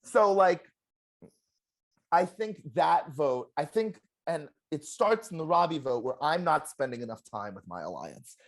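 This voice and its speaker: A former game contestant in a casual exit interview, telling stories in an animated, self-deprecating way with a loud voice. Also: mid-range voice, a little slow. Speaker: eliminated contestant, juror.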